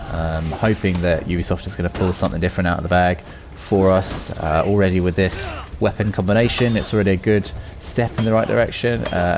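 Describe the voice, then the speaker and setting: A man hosting a gaming video, giving casual, unscripted commentary and musing aloud as he goes. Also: deep voice. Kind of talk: casual off-the-cuff gameplay commentary.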